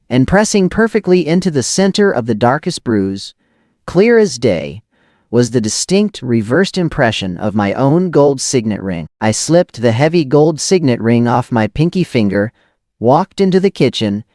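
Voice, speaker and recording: A man playing a hardboiled noir narrator, highly animated. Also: no room sound, clean studio sound. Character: hardboiled, rattled forger of false evidence, cynical first-person narrator. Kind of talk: scripted noir thriller audio drama narration.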